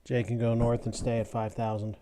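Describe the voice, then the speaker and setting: Male streamer, relaxed dark tone, flat delivery, narrating his gameplay in terse commands and casual asides. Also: mid-range voice, a little fast, a little hiss or hum. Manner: clipped short command phrases mixed with casual explanation. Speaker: live streamer playing an air traffic control game. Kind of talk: live gameplay commentary, calling out commands.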